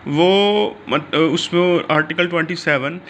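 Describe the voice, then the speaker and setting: Bright, forceful Pakistani man lecturing. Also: fairly high pitch, slow, dull audio, slight background noise. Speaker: law lecturer. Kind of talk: explanatory legal lecture in Urdu mixed with English terms.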